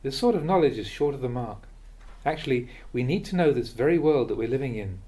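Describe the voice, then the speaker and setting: A man reading a Buddhist teaching aloud as a monk, in a measured, deliberate way with emphasis on key phrases. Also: medium pitch, lively intonation, dark tone. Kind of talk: read-aloud dharma talk.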